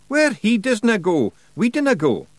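A man's voice: high voice, wildly swooping pitch, moderate pace, dry recording, voice pushed up, exaggerated voice. A man voicing a tiny, brash male fairy warrior chief with a Scottish accent, boisterous and cheeky.

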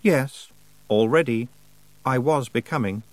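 A man voicing a mad male spirit of winter, talking slowly and dreamily with a chilling calm. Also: mid-range voice, dry recording, natural voice. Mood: dreamy. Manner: slow, dreamy, cheerfully chilling.

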